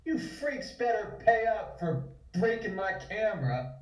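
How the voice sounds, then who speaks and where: A woman's voice: mid-range voice, big pitch swings, measured pace. A woman acting a brash, foul-mouthed character in an online horror drama reading. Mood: angry, threatening. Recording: echoey, distant online call.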